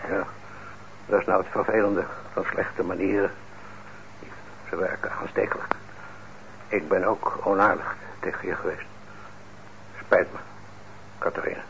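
An actor playing a stern, principled middle-aged father in a radio drama, speaking in a measured, admonishing way. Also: sits low, fairly even intonation, vocal fry, fairly bright tone, heavily acted. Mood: calmer, conciliatory. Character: stern, principled father and craftsman, indignant but fair. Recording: muffled old radio recording, faint noise.

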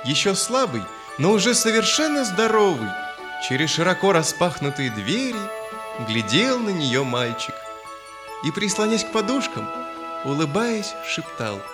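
A man narrating a children's audio fairy tale in a solemn, expressive, literary manner. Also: high voice, measured pace. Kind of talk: dramatic scripted storytelling, audio fairy tale. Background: music.

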